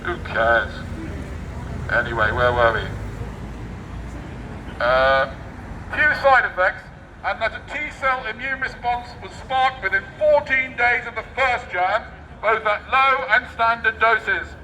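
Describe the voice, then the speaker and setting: A high-voiced Englishman performs a satirical read-aloud outdoors, his tone very bright and cutting. He declaims sarcastically, then slips into muttered asides. The mic is some way off, with faint traffic noise around him. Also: lively intonation, slow, pauses often, recorded from a distance, low-quality recording. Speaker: street performer reading a newspaper aloud. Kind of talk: satirical public read-aloud with ad-libbed commentary. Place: outdoor public street.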